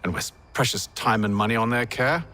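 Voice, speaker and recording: A man's voice: mid-range voice, brisk, pushed and bright, faint music behind, lightly acted. A man playing a condescending, ruthless, urbane Templar master in a video game, sardonic and imperious. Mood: dry, dismissive. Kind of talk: dramatic game dialogue.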